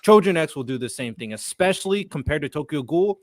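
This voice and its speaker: A man hosting a podcast, speaking in a relaxed, measured, casual way. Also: fairly high pitch, no room sound, studio quality. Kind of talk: casual chat.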